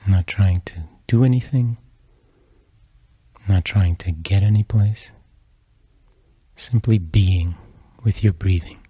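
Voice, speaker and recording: A man leads a guided meditation in a deeply mellow, relaxed voice. He speaks softly in measured phrases, leaving long pauses between them. The tone is husky and the sound is close and dry. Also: no echo. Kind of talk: slow guided meditation narration.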